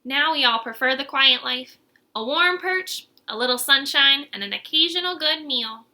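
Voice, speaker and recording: Lady doing chatty old granny snake from a kids book, high bright voice, fussy, really emphatic. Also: expressive, normal speed, full character voice. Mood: reminiscing.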